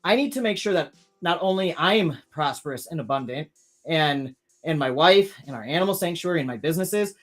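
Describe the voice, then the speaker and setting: A man's voice: on the high side, expressive, fairly quick, soft background music. A man in his thirties hosting a live stream, chatting casually and rambling with many restarts while reading viewer comments. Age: 30s.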